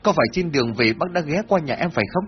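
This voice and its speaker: A man doing a polite young village woman, asking questions earnestly in a raised, lively voice. The sound is slightly dull, with faint music. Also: on the high side, fast, voice pushed up, close to an everyday voice.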